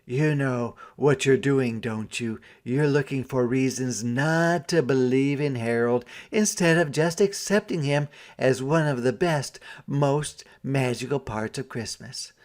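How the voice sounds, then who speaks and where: A man's voice: medium pitch, unhurried, hardly any pauses, a little mellow, pitched above the performer's own voice, close to an everyday voice. A man voicing Missus Claus, Santa's patient older wife, who questions and reasons practically in a storybook. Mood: chiding.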